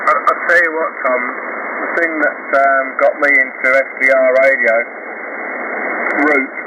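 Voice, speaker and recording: A man making easygoing, rambling small talk as a ham radio operator over a shortwave link. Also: mid-range voice. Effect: shortwave radio transmission. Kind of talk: casual radio contact chat. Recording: muffled shortwave radio with clear noise.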